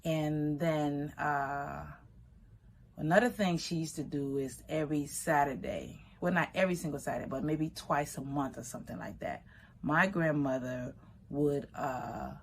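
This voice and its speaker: A middle-aged woman from Liberia recounts family memories in an unhurried, conversational way. Her voice sits low, and her pace speeds up and slows down as she talks. A faint ambient hum lies under the recording. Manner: relaxed, conversational, shifting pace, Liberian English phrasing. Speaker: vegan food business owner. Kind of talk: personal storytelling.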